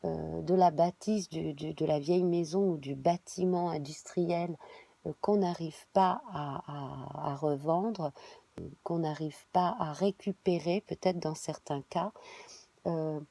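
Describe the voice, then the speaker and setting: A woman, reading a monthly tarot spread while she has a cold, halting and filling pauses. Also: deep voice, creaky, mellow, no room sound. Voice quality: hesitant, many fillers and restarts. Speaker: tarot reader.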